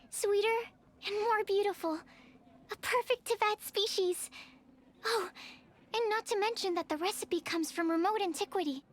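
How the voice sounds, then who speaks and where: High-voiced, girlish young female alchemist. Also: a little hiss or hum. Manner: hesitant, then gushing. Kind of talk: video game voice acting.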